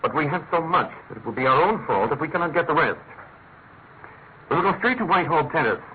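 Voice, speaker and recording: An actor playing a shrewd, imperious master detective in a classic radio drama, incisive and urgent. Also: male voice, a little high, animated, fast, lightly acted. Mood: confident. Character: brisk, commanding, shrewd male master detective. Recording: tinny, narrow-band old radio, faint noise.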